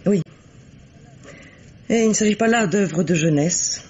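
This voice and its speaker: An actress playing a composed, coolly bitter middle-aged widow in a French radio mystery play, speaking formally and deliberately. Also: female voice, mid-range voice, a little mellow, slightly rough. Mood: measured, disdainful. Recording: dull, lo-fi vintage radio recording.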